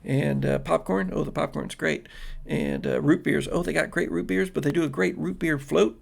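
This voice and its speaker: Man putting on a gushing, higher-voiced friendly young woman. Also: fairly even intonation, normal speed, creaky. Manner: gushing, chatty. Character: friendly enthusiastic young 1950s woman at drive-in.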